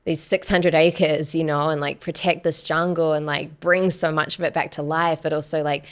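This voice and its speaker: A woman's voice: sits low, flat delivery, moderate pace. A woman in her thirties telling personal stories casually as a podcast guest, often saying "like" and quoting conversations, close on the mic. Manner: conversational, frequent "like" and quoted dialogue. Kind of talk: casual personal storytelling in an interview. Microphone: close podcast microphone on arm.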